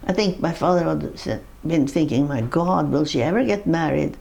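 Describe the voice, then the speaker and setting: A woman's voice: low voice, moderate pace, gravelly, warm tone. An older woman with a Norwegian accent, recalling her life in a personal interview, rambling through her story with laughs and restarts. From Norway.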